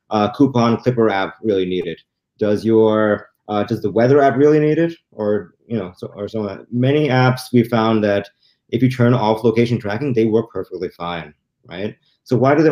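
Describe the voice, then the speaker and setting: Male guest commentator, fairly low voice, conversational explainer who rephrases as he goes, video call. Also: moderate pace, dull audio. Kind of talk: casual explanation.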